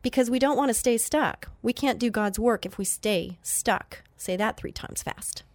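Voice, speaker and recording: A woman's voice: fairly high pitch, normal speed, dry recording. Nasal-sounding woman hosting faith radio. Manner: chatty, earnest, with many fillers and restarts. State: nasal from allergies. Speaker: radio show host.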